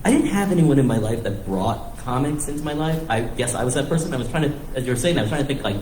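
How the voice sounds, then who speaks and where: American guy on a panel, deep mellow voice, kinda rambly, roomy sound w a bit of noise. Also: lively intonation, fast talker. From northeastern United States and Thailand. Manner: self-interrupting, thinking aloud. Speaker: comics writer on a panel. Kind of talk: casual rambling personal storytelling at a panel. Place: live panel event in a room. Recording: echoey room with faint background noise.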